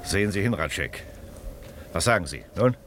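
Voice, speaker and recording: An actor playing a formal, reasonable court counsellor with a commanding manner in a German radio drama. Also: male voice, low voice, very animated, moderate pace, a bit bright. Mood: stern, probing. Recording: noisy background ambience.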